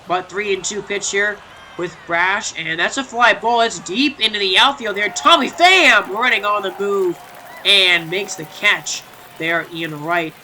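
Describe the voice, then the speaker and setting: A man's voice: high-pitched, a little slow, pushed and bright, a little hiss or hum, rough recording. A young man with a stuffy, congested voice doing chatty play-by-play commentary over a baseball video game, full of fillers and restarts and rising when a big play happens.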